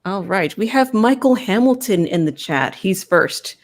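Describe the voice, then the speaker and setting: A woman's voice: medium pitch, lively intonation, a little slow. A woman hosting a podcast, chatting casually as she interviews a guest. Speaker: podcast host.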